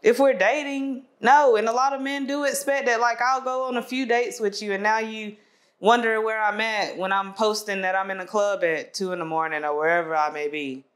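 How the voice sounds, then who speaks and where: A woman's voice: mid-range voice, fairly even intonation, fairly quick. A woman in her twenties chatting candidly as a guest, laughing often and using lots of fillers, recorded on a lapel mic. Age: 20s. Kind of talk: casual conversation.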